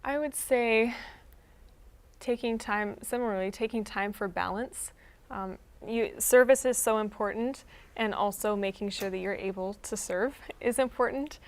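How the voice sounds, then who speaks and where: A woman's voice: medium pitch, a little slow. A young woman, a nurse, answering thoughtfully and in a friendly way as a guest in a faith interview. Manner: thoughtful, friendly, with some hesitations. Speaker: guest, emergency room nurse.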